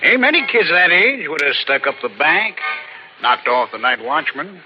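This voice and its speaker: A man's voice: a little high, sing-song, moderate pace. An actor playing a folksy, knowing small-town lunch counterman, familiar and colloquial, in 1940s radio drama style. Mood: teasing, sly. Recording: dull old radio recording with music.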